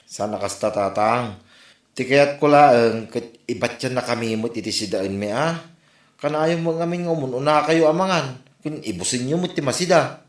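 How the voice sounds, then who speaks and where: A man's voice: medium pitch, normal speed, close to an everyday voice. A man playing an exasperated grown son in a comedy drama, reproachful and plain-spoken. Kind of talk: Ilocano comedy radio-style drama. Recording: roomy echo on the voice.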